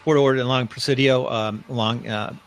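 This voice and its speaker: A man's voice: mid-range voice, fairly even intonation, moderate pace, clean tone. A middle-aged man hosting a casual live-stream interview, chatty and rambling, often restarting his sentences. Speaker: host.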